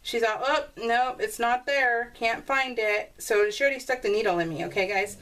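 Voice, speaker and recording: A woman's voice: on the high side, normal speed, distant mic, rough recording. A woman chatting casually in a rambling, personal storytelling way, like a crafting vlogger talking as she works. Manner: chatty, animated when retelling conversations. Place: indoors at a craft table.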